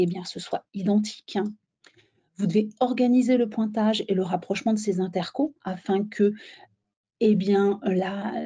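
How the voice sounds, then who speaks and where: A woman presenting a software webinar in an explanatory, somewhat halting way, as if walking listeners through slides. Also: medium pitch, breathy edge.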